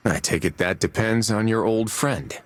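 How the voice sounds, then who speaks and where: An actor playing a steady, plainspoken male hero in a video game, calm and direct. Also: lowish voice, normal speed, faint music behind, lightly acted. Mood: casual, curious. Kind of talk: video game cutscene acting.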